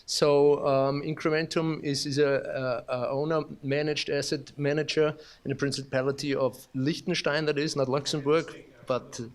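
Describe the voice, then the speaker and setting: A man's voice: on the high side, flat delivery. Austrian-accented man giving a conference keynote. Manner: halting, many restarts and fillers, self-deprecating humor. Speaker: keynote speaker. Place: conference hall.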